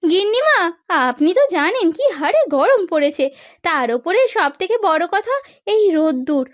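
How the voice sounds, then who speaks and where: A woman's voice: very high voice, sing-song, no room sound. A woman playing a weary, plaintive village housemaid and wife in a cartoon moral story. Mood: pleading. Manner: plaintive, complaining, drawn-out lamenting. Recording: dry studio voice over background music.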